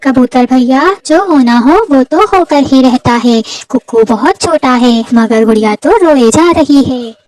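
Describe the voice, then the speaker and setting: A woman voicing a gentle, caring widowed mother sparrow in a children's cartoon, speaking tenderly and earnestly with melodrama. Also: high-pitched, unhurried, hardly any pauses, recorded from a distance, low-quality recording. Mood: sorrowful, resigned.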